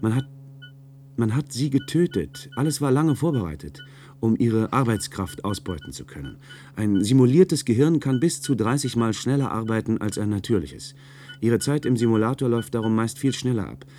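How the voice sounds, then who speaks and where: An actor playing an earnest, troubled young man fresh from his studies in a German science-fiction radio play, sometimes halting as he speaks. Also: medium pitch, sing-song, mellow, natural voice. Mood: troubled, confessional. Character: earnest young male communicator, recently graduated, troubled by guilt.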